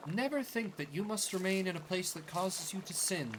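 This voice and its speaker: A man voicing a gentle, devout former nun who speaks in a measured, solemn and formal way, in a game playthrough. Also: sits high, animated, background noise, voice pushed up. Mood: solemn, penitent.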